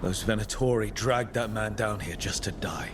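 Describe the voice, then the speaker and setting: A man's voice: lowish voice, normal speed, background noise, natural voice. An actor playing a casual, plucky young male hero in a video game, informal and direct. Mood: concerned.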